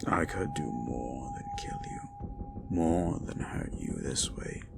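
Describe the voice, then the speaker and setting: A man playing a hulking sadistic slasher killer in a horror roleplay audio, gloating and menacing, savouring each pause and dropping into whispers. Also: very deep voice, wildly swooping pitch, vocal fry, very noisy, heavily acted. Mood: threatening menace.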